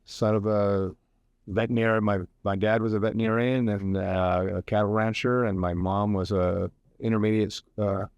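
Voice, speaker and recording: Older male academic guest, low pitch, chatty and meandering, close dry studio mic. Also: flat delivery, moderate pace, clean tone, no echo, lo-fi sound. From Hawaii. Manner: rambling, many fillers and restarts. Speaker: professor and guest. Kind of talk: casual radio interview.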